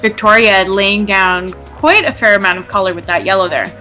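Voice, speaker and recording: A woman's voice: mid-range voice, brisk, bright, forceful tone, faint music behind, low-quality recording. A woman co-hosting an online live painting contest, chatting in an upbeat way with many fillers. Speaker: co-host and commentator.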